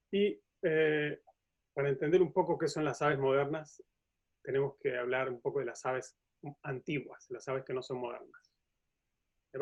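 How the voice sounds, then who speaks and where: A man with a Uruguayan accent giving an informal science lecture as a professor, explaining things conversationally. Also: medium pitch. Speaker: museum curator and professor giving a talk. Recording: dull, lo-fi audio.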